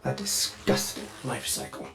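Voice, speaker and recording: A man's voice: measured pace, loud music behind the voice, close to an everyday voice. An actor voicing a fussy, devoted male ship AI in a video game, with a chirpy, theatrical delivery. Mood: curious, fussy. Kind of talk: video game character acting.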